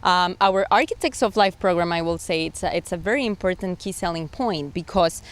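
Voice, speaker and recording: A woman's voice: fairly low pitch, fairly quick. A woman giving agreeable, explanatory interview answers as a sales director, with phrasing. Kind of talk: interview answers pitching a resort.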